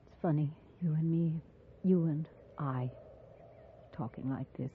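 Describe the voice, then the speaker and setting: A woman's voice: sits low, a little slow, slight background noise. An actress playing a candid, lonely frontier mother, plain-spoken and subdued, in a 1950s radio drama. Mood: reflective. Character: candid, lonely frontier mother, former schoolteacher, quietly brave. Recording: muffled, narrow-band vintage radio recording.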